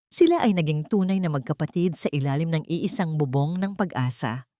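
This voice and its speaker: A woman narrating a scripted dramatic story in a measured, solemn and sentimental manner. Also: on the low side, no echo, studio quality. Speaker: narrator of audio drama stories.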